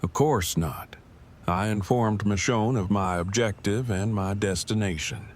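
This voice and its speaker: A man plays a stiff, literal-minded fellow in an audio drama. His speech is formal and long-winded, delivered in a fairly low register with a stilted air. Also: unhurried, lightly acted. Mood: stiff, matter-of-fact. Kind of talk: fan audio drama dialogue.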